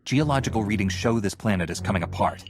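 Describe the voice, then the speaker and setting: An actor playing a calm, resolute Jedi hero in a video game, speaking in a direct, measured, authoritative way. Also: male voice, on the low side, background noise, natural voice. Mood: earnest, resolute.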